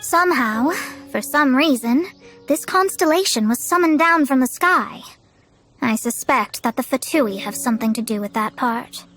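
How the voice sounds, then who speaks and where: A woman's voice: high voice, lively intonation, moderate pace, fairly bright tone. A woman voicing a proud, self-assured young astrologer in a video game, articulate and grandiose. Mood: explaining, assured.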